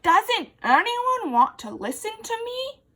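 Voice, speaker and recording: A woman voicing a friendly female storybook ant who booms out her words loudly, eager for company. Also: sing-song, slow pace, heavily acted. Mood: loud, pleading. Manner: booming, exclaiming.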